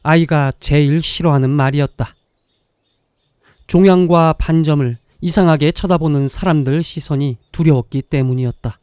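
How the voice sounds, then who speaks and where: A man narrating a scripted dramatic story in a measured, literary way, pausing between short phrases. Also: fairly high pitch, darkish tone, clear, resonant tone, no echo, clean studio sound.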